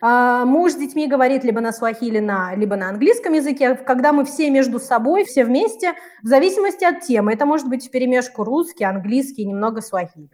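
Female interviewee. Brisk, fluent conversational storytelling, voice slightly on the high side. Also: lively intonation, darkish tone. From Murmansk region, Russian far north. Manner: talkative, fluent, illustrates with little quoted examples. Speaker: interview guest, Russian language teacher living in Tanzania.

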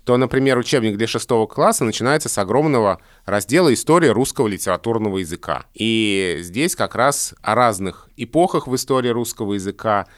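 A man co-hosting a podcast, explaining school language courses in a conversational way, with animated rises in pitch. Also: brisk, few pauses, no room sound, studio quality. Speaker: podcast co-host, language expert.

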